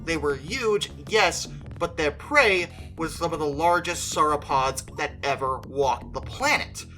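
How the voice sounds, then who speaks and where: Bright, emphatic movie review commentator. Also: mid-range voice, a little slow, soft background music. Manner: emphatic, conversational, stretches stressed words. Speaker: video creator and host.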